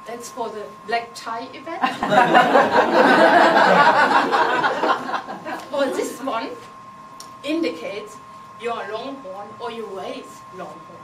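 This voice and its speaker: A woman's voice: fairly high pitch, drawn-out words, long pauses. A woman from Germany with a German accent, giving a humorous contest speech with playful, teasing delivery and non-native phrasing. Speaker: contest speaker. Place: meeting hall with audience. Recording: echoey hall from far off, noisy.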